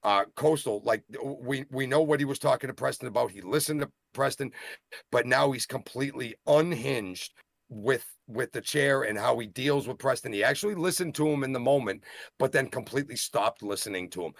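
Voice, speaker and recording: Rambling excitable Boston man. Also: mid-range voice, normal speed, vocal fry, dry recording. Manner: rambling, self-interrupting, excitable. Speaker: wrestling show co-host. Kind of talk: casual wrestling review chat.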